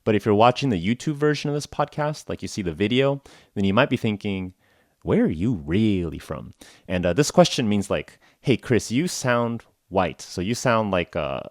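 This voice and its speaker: American guy, young, low voice, chatty podcast host with lots of uhs, dry close sound. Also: expressive, normal speed, no room sound. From United States (Oklahoma). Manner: chatty, frequent "uh" and "like", self-deprecating jokes. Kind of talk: casual solo podcast for English learners.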